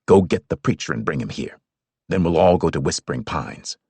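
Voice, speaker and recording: A man's voice: rumbling low, lively intonation, a little fast, warm tone, husky, no room sound, pitched below the performer's own voice, heavily acted. A man reading aloud, voicing a stern, commanding older father who rules his family firmly.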